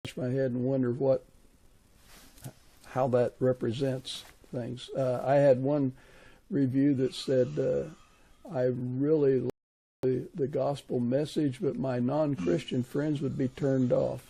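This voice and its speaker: A man with a creaky, husky voice and a soft, dark tone talks casually in an interview. He is measured and reflective, feeling his way through each thought. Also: medium pitch, level tone, rough recording.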